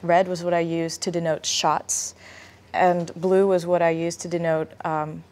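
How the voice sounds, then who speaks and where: A woman's voice: lowish voice, little pitch movement, unhurried, darkish tone. A woman explaining her creative process as an animator in an interview.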